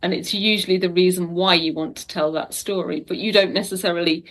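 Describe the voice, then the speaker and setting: A woman's voice: fairly low pitch, fairly even intonation, fairly quick, fairly bright tone, low-quality recording. A woman talking casually and anecdotally as a writer being interviewed. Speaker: audio drama writer, podcast guest.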